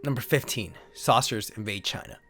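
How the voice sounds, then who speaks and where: A man's voice: fairly low pitch, moderate pace, a bit bright, faint music behind. A man giving a theatrical, solemn dramatic reading of pulp science fiction stories, as a narrator. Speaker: narrator and host. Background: sound effects and ambience.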